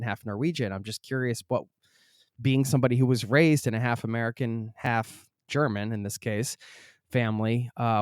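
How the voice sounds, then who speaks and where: A man's voice: medium pitch, smooth, no room sound, clean studio sound. A man hosting a travel podcast, reading a friendly intro and then chatting casually with his guest. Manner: upbeat, friendly, joking with guest. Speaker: podcast host.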